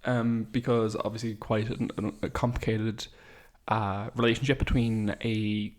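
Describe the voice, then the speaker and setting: A young man co-hosting a podcast, telling stories animatedly with quick asides. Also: lowish voice, flat delivery. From Ireland. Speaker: podcast co-host. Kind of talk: casual chat.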